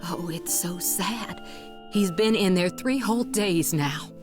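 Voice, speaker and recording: A sympathetic motherly figure in a fantasy game. Her voice is fairly low and she speaks slowly, with gentle, sorrowful concern. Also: over music. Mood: gentle, sad. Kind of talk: animated fantasy game voice acting.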